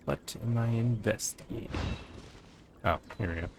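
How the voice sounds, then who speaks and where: A man giving casual, low-key playthrough commentary, rambling as he thinks aloud. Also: normal speed. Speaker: game streamer. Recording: game music behind the voice. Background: game music.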